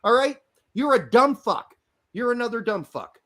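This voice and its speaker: A man's voice: extremely high pitch, big pitch swings, normal speed. A man in his fifties with an American accent, raised in Germany, co-hosting a casual chat and ranting with heavy profanity. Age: 50s. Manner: profane ranting, loud outbursts. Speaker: podcast co-host.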